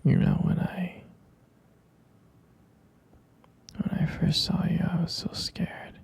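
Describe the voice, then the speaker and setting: A man playing a gentle, sleepy half-human vampire boyfriend in an ASMR roleplay, murmuring hesitant, tender reassurance close to the mic in a soft, breathy whisper. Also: fairly high pitch, very animated, normal speed, heavily acted.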